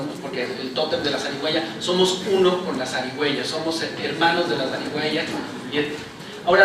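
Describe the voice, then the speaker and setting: A somewhat high-voiced man with a Mexican accent explains an academic idea to an audience, often tagging his phrases with a questioning check. He is recorded from far off in a very echoey lecture hall, with steady noise behind him. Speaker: book presentation panelist. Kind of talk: academic talk answering a question.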